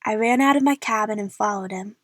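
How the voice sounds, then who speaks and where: A woman's voice: medium pitch, a little fast, a bit bright, dry recording, studio quality, lightly acted. A young woman playing an eager, chatty movie fan who narrates her adventure in the first person for an audio drama. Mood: wry narration.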